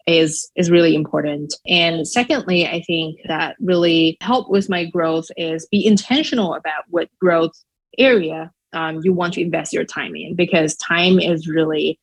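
A woman from Shanghai with a Chinese accent, speaking English as a second language in a podcast interview. Her voice sits somewhat low, and she thinks aloud with frequent "um" and "like". She sounds relaxed and reflective. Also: normal speed, slightly rough. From Shanghai, China. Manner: thoughtful, many fillers like "um" and "like". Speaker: podcast guest. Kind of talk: casual interview answers.

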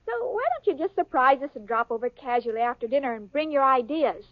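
Actress as a ditzy, bubbly young woman, very high, dry lo-fi old radio sound. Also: fast, no room sound, close to an everyday voice. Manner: bubbly, earnest, scatterbrained phrasing. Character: ditzy, sweet young woman, cheerful and muddled. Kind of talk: 1940s radio sitcom acting. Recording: dull lo-fi old radio broadcast.

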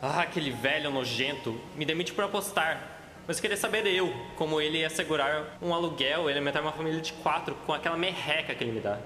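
A man's voice: fairly high pitch, fast, bright, forceful tone, a little hiss or hum. A Brazilian man acting a desperate, ashamed broke gambler in a student radio drama, anguished and hesitant. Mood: bitter despair. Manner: anguished, hesitant muttering to himself. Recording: echoey reverberant sound with music behind.

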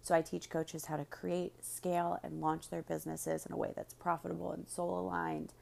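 Woman podcast host, lowish creaky slightly raspy voice, talking straight to listeners. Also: flat delivery, moderate pace, a little mellow. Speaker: podcast host and business coach. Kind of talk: podcast monologue, promotional then casual chat.

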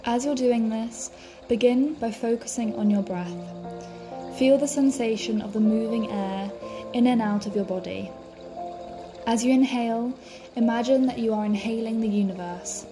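A woman leading a guided meditation as a podcast host, speaking in a calm, soothing, measured way. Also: fairly high pitch. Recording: music behind the voice. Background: background music.

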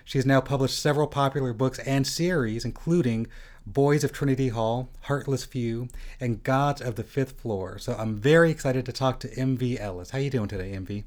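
Relaxed American podcast host. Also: male voice, medium pitch, moderate pace. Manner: loud theatrical intro, then relaxed and rambling. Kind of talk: casual interview chat. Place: Las Vegas home studio.

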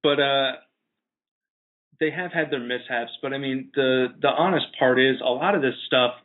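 A man hosts a casual sports show with a mid-range voice. He talks in an informal, halting way, as if chatting with friends. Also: medium pitch, phone-quality sound. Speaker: sports podcast host.

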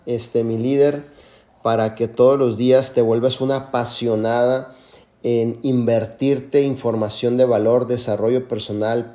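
A man's voice: medium pitch, mellow. A man mentoring on a group call, earnest and full of "este" fillers. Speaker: mentor answering questions.

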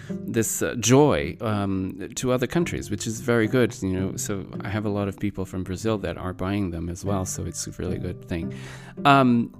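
A man's voice: fairly low pitch, creaky. A man in his forties, not a native English speaker, hosting an interview in an enthusiastic, rambling way with many fillers. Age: 40s. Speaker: podcast host. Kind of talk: casual interview.

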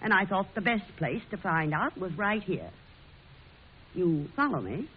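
A woman's voice: mid-range voice, sing-song, a little hiss or hum. Feisty woman printer, very muffled old radio. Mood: determined. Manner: brisk, plain-spoken, folksy. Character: feisty, blunt 19th-century woman printer and newspaper publisher. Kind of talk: old-time radio drama acting.

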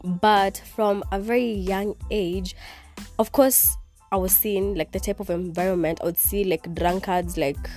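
A woman's voice: mid-range voice, steady tone, a little slow, warm tone, faint music behind. A young Kenyan woman whose first language is Kikuyu hosts a casual solo podcast from her bed. She records on her phone and rambles, filling her talk with "like" and slipping in Swahili words. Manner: rambling, heavy use of "like", mixing in Swahili words. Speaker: podcast host.

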